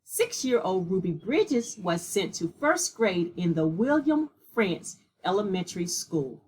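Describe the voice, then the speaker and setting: A woman with a slightly husky voice reading a children's picture book aloud in a measured, deliberate way. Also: mid-range voice, very animated, darkish tone, a little hiss or hum, poor audio. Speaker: read-aloud storyteller.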